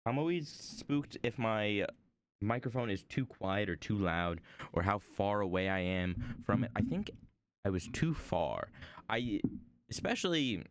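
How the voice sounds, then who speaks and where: A man streaming from home while he draws, chatting about his desk microphone in a rambling, self-deprecating way, his voice a little hoarse. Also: unhurried. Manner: rambling, self-deprecating, lively swings in pitch. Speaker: art streamer. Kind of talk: casual stream chat.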